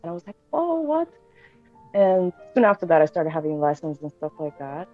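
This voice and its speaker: Low-voiced Bulgarian-born woman on a tinny call. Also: sing-song, moderate pace, soft background music. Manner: chatty, many fillers and restarts. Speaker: guest pianist. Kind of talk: casual interview chat. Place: home room indoors. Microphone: video call. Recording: tinny video call audio.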